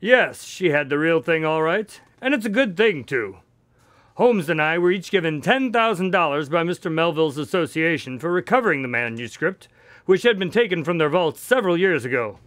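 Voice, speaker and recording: A man's voice: fairly high pitch, animated, moderate pace, fairly bright tone, dry recording. A man acting in a radio drama as an affable, inquisitive detective's sidekick who tells stories in an earnest, conversational way. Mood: pleased, storytelling.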